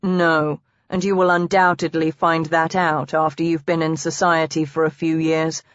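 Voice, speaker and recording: A woman's voice: sits low, level tone, moderate pace, clean tone, no echo, pitched below the performer's own voice, close to an everyday voice. A woman voicing a tender, teasing husband in a historical romance audiobook, with formal old-fashioned phrasing. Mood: earnest. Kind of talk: audiobook character dialogue. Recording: dry, slightly dull close recording.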